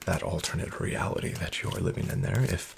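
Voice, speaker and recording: A man in a soft-spoken roleplay as a smooth, flattering casino dealer, often whispering and slyly nudging the listener to bet more. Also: low voice, fairly quick, a little hiss or hum. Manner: whispery, with much unvoiced soft speech. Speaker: ASMR roleplay creator playing a casino dealer.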